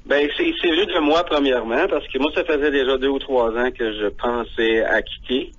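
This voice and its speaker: Male caller, mid pitch, hesitant with fillers, dull lo-fi line. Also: moderate pace, husky. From Ontario. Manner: hesitant, frequent fillers and restarts. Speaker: guest on phone, teacher. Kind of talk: phone interview.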